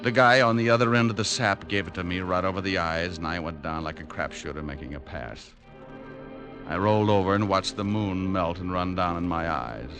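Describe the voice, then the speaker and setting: Casting: world-weary radio detective, low voice, wry deadpan wisecracks, music underneath. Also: male voice, fairly quick. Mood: hard-boiled, deadpan. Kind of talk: 1940s radio acting, hard-boiled first-person narration.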